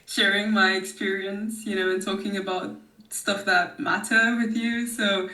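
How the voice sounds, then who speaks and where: Woman, podcast guest, expressive, bright tone, echoey room, distant mic. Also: mid-range voice, a little slow. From Nigeria. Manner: animated and expressive, laughs often. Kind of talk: casual conversation.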